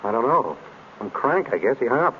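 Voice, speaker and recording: An actor playing a reluctant, unflappable former detective, dry and teasing, in an old radio drama. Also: male voice, mid-range voice, sing-song, a little fast, close to an everyday voice. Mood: sleepy, wry. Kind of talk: 1930s radio drama acting. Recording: dull, noisy old radio recording.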